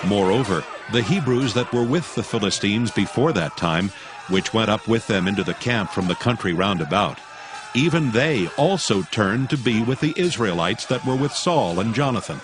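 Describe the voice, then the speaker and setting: A man narrating a dramatized Bible in a solemn, measured, scripted style. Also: fairly low pitch, lively intonation. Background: music.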